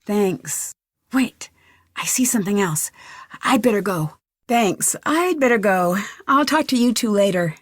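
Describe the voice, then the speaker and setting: A woman's voice: mid-range voice, lively intonation, a little slow, no room sound. A young woman sleuth in a mystery game. She is earnest and inquisitive, with a mid-range voice and natural, polite delivery. The sound is close and dry, with no room around it. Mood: concerned, matter-of-fact. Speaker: video game voice actor. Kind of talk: scripted game dialogue, clear and natural.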